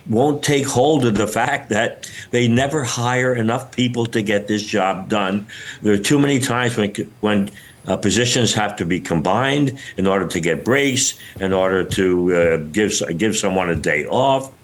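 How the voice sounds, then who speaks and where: An older man being interviewed as an expert, explaining things haltingly as he searches for words. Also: fairly low pitch. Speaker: retired air traffic controller, interviewed expert. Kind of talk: news interview, unscripted explanation.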